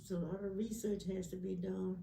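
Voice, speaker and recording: A woman in her nineties telling lively family stories in a casual chat, laughing at her own anecdotes. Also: medium pitch, fairly quick, creaky, a little hiss or hum. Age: 90s. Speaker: family elder being interviewed. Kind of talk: casual storytelling. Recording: echoey room, voice from a distance.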